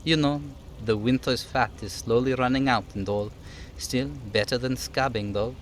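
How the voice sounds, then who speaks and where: A jolly, sly union striker from a video game, played by a man. He chats in a folksy, teasing way, pitched up and loose, over audible background noise. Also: fairly even intonation, normal speed, lightly acted. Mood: friendly.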